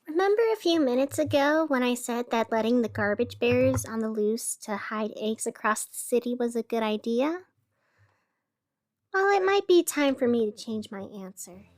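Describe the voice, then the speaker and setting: A woman playing a chatty, earnest radio host who reports a fantasy city's troubles in an expressive, conversational, scripted news style. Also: fairly high pitch, moderate pace, close to an everyday voice. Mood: wry concern. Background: music.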